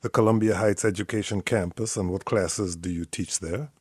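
An older man from Guyana hosting a radio call-in show, speaking in a measured, friendly broadcaster manner. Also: on the low side, fairly even intonation, darkish tone, breathy edge, no echo, studio quality.

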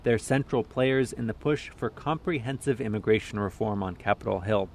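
A man narrating a radio news feature in a measured, scripted delivery. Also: fairly low pitch, gravelly, slight background noise. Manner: measured, even reporting. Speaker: reporter.

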